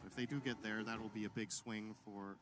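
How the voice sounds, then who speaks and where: A man's voice: lowish voice, fairly even intonation, fairly quick. A man giving analytical color commentary on an esports match, thinking aloud as he explains the play. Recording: commentary over loud game music.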